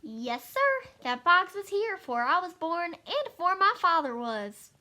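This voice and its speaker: A woman's voice: very high voice, very animated, unhurried, pushed and bright, heavily acted. A woman playing a grumbling elderly townsperson who reminisces in a folksy way, in an amateur play acted over video call. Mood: nostalgic. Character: grumbling elderly town old-timer, nostalgic for strict ritual. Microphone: video call microphone.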